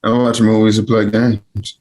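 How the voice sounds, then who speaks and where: A man's voice: on the low side, level tone, a little slow, darkish tone, close to an everyday voice. A man playing a curious, earnest young boy in a screenplay table read, asking short, inquisitive questions. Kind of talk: table read of a film script.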